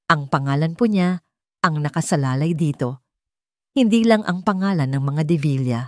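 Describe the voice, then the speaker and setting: A woman voicing a principled young daughter-in-law in an audio drama, respectful but defiant, steady and earnest. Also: low voice, normal speed, no echo, studio quality, lightly acted. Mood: pleading defiance.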